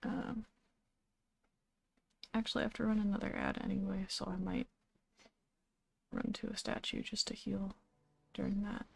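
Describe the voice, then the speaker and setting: A woman streaming a game, giving casual, low-key commentary with dry asides. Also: little pitch movement, moderate pace, gravelly, long pauses, slightly rough. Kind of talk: casual live gameplay commentary.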